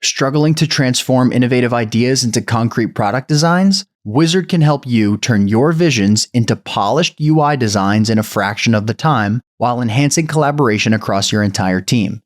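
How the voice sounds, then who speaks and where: A man reading scripted sponsor ads for a podcast in a brisk, promotional way. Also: mid-range voice, no echo, clean studio sound. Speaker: ad reader.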